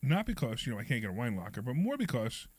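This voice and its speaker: A man with a hoarse, froggy voice, hosting a radio show and telling a rambling story full of repeats. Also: medium pitch, fast talker, dry recording. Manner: rambling, animated, repeats himself. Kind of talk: casual storytelling chat.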